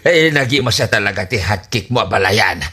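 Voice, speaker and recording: Bumbling, lovestruck old in-law in radio comedy; husky, stammering, groaning, with lively swings in pitch. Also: male voice, full character voice. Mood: eager, smitten. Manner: stammering, hesitant, full of drawn-out groans. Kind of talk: comedy radio drama acting in Ilocano.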